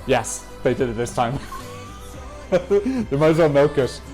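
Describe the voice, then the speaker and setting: A man's voice: mid-range voice, expressive, measured pace, darkish tone. A man hosting a Eurovision reaction video, giving chatty, opinionated commentary and correcting himself as he goes. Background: faint music from the songs being reacted to.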